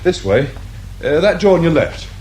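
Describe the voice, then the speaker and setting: An actor playing a polite, anxious English office worker with a hesitant, fussy manner in a British radio drama. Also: male voice, on the high side, big pitch swings, normal speed, a little mellow. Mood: anxiously obliging. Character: nervous, polite male office worker questioned by police. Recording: faint noise behind radio drama recording.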